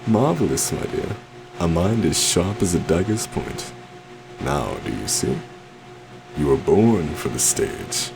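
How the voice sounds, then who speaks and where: A man's voice: deep voice, very animated, takes it slowly, vocal fry, over music, exaggerated voice, rough recording. A man playing a suave, possessive stage magician in an ASMR roleplay, theatrical and courtly, coaxing sweetly with a menacing undertone. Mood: smug, coaxing. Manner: theatrical, courtly, soft coaxing with terms of endearment.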